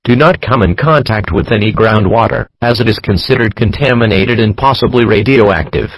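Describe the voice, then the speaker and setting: A man delivers a scripted emergency alert in a formal, machine-like voice. The tone is flat and official, with a slightly raspy grain. The sound is very dry, with no room around it. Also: fairly low pitch, moderate pace, no echo, poor audio. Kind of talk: scripted emergency broadcast announcement.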